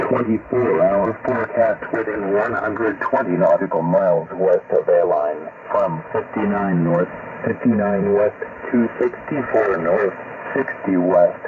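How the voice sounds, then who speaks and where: A synthesized male voice reading a marine weather forecast in a measured, methodical way, received over shortwave radio. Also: deep voice, distant mic. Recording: noisy tinny shortwave radio reception.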